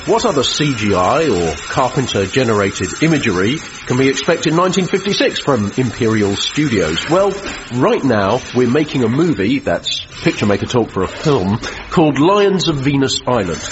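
A man's voice: mid-range voice, very animated, normal speed, few pauses, close to an everyday voice. An actor playing a chatty, self-satisfied 1950s British film studio man presenting his effects work in a drily matter-of-fact way. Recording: muffled vintage film sound, loud background noise.

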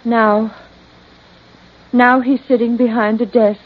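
An actress playing an entranced woman in a vintage crime radio drama, speaking in halting, trancelike short phrases. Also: a little high, little pitch movement. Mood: dazed, trancelike. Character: entranced woman reliving deaths, dazed then frantic. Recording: dull lo-fi old radio recording.

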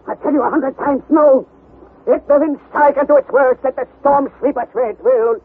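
A reckless, stubborn old professor uncle in a radio drama. His voice is very high and strained upward, imperious and defiant as he shouts orders. The sound is tinny and narrow, like an old radio. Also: fairly even intonation, normal speed. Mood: defiant, vehement.

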